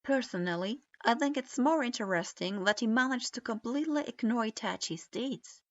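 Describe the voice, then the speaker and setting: A woman's voice: fairly high pitch, expressive, moderate pace, no room sound, lightly acted. A woman reading as a cool, wry puppet master. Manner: casual, wry. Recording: dull lo-fi recording.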